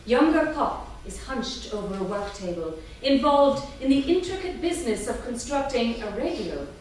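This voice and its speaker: A woman's voice: fairly high pitch, very animated, moderate pace, darkish tone. Female stage-directions reader for a live play reading; distant, heavily reverberant recording with faint noise. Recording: echoey room from far off, faint noise.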